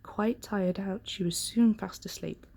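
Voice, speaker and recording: A woman reading a bedtime story in a gentle, unhurried ASMR style, soft-spoken and breathy, often close to a whisper. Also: mid-range voice, fairly even intonation, slight background noise.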